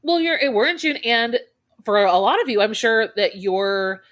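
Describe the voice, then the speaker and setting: A woman's voice: on the high side, unhurried, a bit bright. Friendly woman co-host, stop-start phrasing. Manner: hesitant restarts, friendly and encouraging. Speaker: podcast co-host. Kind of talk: casual chat.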